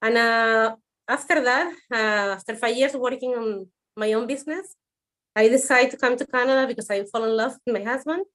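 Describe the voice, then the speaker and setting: A woman's voice: on the high side, moderate pace, a bit bright. A woman in her forties with a Spanish accent, a native Spanish speaker raised in Madrid, answering interview questions over a video call in a hesitant, slightly nervous way with many fillers. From Venezuela, raised in Madrid. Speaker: guest. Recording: music in the background.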